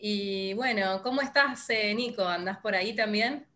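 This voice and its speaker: A woman's voice: medium pitch, moderate pace, sharp tone. A woman speaking casually as a podcast co-host, greeting the others.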